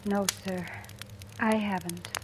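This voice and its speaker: An actress playing a timid, devoted young woman in a 1940s style radio drama, speaking softly and hesitantly. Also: mid-range voice, fairly even intonation, slow, slight background noise, natural voice. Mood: nervous. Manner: hesitant, soft-spoken. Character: timid but devoted young woman, new household employee. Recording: old radio recording, music behind.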